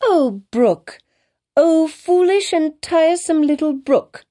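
Woman voicing a high, pert little storybook girl. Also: very animated, moderate pace, mellow. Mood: exclaiming. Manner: playful, exclaiming, insistent questioning. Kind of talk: dramatized audiobook reading in archaic English.

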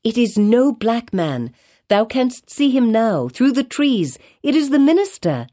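A grave, weary mother acted by a woman reader, earnest and commanding with her child. Her tone is soft-edged and her phrasing is that of an old storybook. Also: a little high, sing-song, a little slow, voice pushed up. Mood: impatient. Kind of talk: dramatized audiobook reading in archaic English.

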